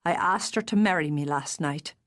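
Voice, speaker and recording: A woman voicing a brooding young man in an audiobook, speaking low and gruff. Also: normal speed, a bit bright.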